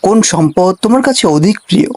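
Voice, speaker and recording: A man's voice: high voice, lively intonation, quick pace, darkish tone. A man playing a solemn, formal royal guard in disguise in a cartoon fable. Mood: solemn questioning.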